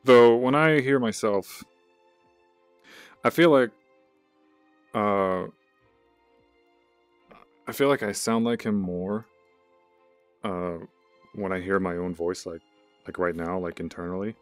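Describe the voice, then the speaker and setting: A young man with a deep, low voice chats loosely through a VR headset mic while he streams, rambling and stacking up "like" and "uh" as he thinks aloud. Soft game music drifts faintly underneath. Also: slow pace, pauses often. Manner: rambling, fills talk with "like" and "uh". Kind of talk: casual live-stream chat.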